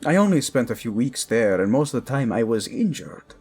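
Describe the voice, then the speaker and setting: An actor playing a protective, lovesick king in a romantic audio drama, speaking tenderly and haltingly with a Spanish accent. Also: mid-range voice, sing-song, a little mellow, breathy edge, soft background music. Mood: warm, curious. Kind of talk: intimate romantic audio drama acting addressed to listener.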